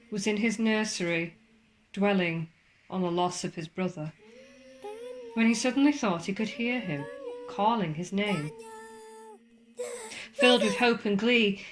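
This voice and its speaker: A woman narrates a ghost story in a theatrical, suspenseful manner, with deliberate pauses and formal, old-fashioned wording. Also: mid-range voice, low-quality recording. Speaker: ghost walk guide and storyteller. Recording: clear background ambience under the voice. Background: spooky horror ambience sounds.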